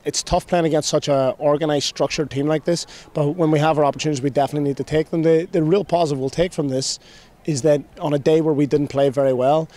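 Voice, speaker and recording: A man in his thirties, a head coach, giving a measured post-game interview outdoors at a stadium at night into a handheld mic. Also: fairly high pitch, warm tone. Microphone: handheld mic held below chin.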